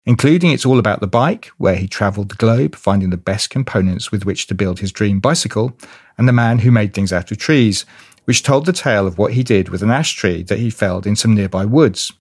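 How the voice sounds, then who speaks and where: Male podcast presenter, closely recorded and dry, slightly low voice, introducing and interviewing in a conversational style. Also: expressive, a little fast, darkish tone, slightly rough, dry recording. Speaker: podcast host.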